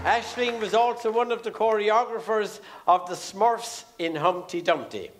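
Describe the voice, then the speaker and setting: A man with an Irish accent making formal, measured announcements as master of ceremonies on a theatre stage. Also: high voice, fairly even intonation, soft background music. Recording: live theatre stage with audience. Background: audience noise.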